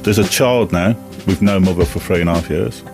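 A man's voice: deep voice, brisk, over music. A man in an interview clip, speaking bitterly and indignantly.

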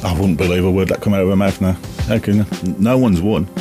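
Man, very deep voice, bitter, indignant, music under him. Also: fairly even intonation, quick pace. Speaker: interviewee. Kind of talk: emotional interview clip.

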